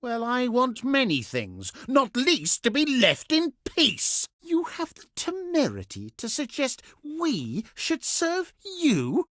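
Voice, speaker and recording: Haughty, pious ancient Etruscan envoy, strategy game. Theatrical, condescending, highly animated pitch, dry close sound. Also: male voice, dry recording, exaggerated voice. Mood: scornful, indignant. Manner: pompous, formal, deliberate emphasis. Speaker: faction diplomat in a strategy game. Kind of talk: theatrical scripted game voice acting.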